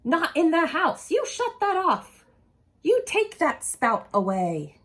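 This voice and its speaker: A woman's voice: very high-pitched, huge pitch swings, slow pace, fairly bright tone, voice pushed up, heavily acted. A woman voicing a stern, scolding storybook mother who gives bossy, emphatic orders.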